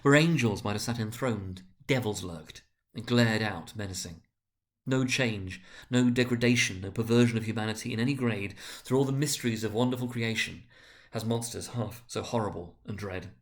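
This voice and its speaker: A man narrating a literary story dramatically, with deliberate, expressive phrasing. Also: on the low side.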